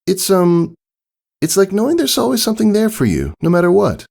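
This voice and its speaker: A man's voice: high voice, wildly swooping pitch, brisk, vocal fry, a little mellow, pitched above the performer's own voice, heavily acted. A man voicing a young tomboyish female pegasus pony with a casual, hesitant, guarded way of talking in a storybook reading. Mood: hesitant, thoughtful. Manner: hesitant, casual, shrugging delivery.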